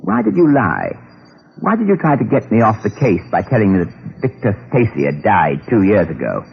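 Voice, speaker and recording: An actor playing a brisk, commanding private detective in a vintage radio drama. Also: male voice, fairly low pitch, huge pitch swings, creaky, husky, full character voice. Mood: grave, urgent. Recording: dull, noisy old radio recording.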